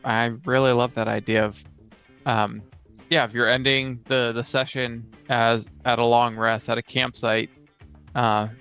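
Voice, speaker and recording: A man's voice: mid-range voice, pushed and bright, clear, resonant tone. A man co-hosting a casual podcast, chatting about tabletop gaming from a player's side. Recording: slightly dull audio, guitar music behind.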